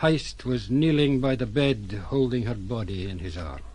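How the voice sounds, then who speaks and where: An actor playing a blunt, sombre ship's captain recounting events in an audio drama, in a plain-spoken way. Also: male voice, medium pitch, moderate pace, a little mellow, close to an everyday voice. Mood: sombre, reflective. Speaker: sea captain.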